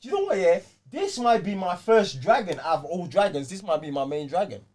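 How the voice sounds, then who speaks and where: A man's voice: sits high, sing-song, a little fast, a little mellow. A man with a London accent hosting a casual film chat, slangy and repeating phrases for emphasis. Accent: London British. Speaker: podcast host. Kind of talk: casual panel chat about films.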